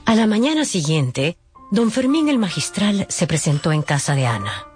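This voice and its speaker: Low-voiced, expressive woman storyteller. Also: normal speed. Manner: wry, knowing asides to listeners. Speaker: narrator. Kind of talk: dramatised storytelling narration. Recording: faint music behind the voice.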